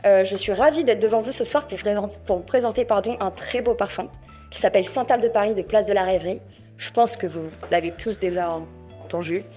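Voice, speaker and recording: A woman contestant pitching a product to judges off the cuff, with lots of fillers and a chatty, persuasive manner. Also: a little fast, warm tone, faint music behind. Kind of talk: improvised sales pitch.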